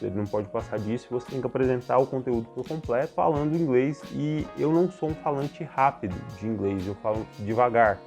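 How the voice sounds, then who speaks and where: Man reviewing a course, mellow tone. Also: mid-range voice. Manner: explanatory, unhurried, frequent "né" tag questions. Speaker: channel host, data architect. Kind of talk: casual first-person course review. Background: soft guitar background music.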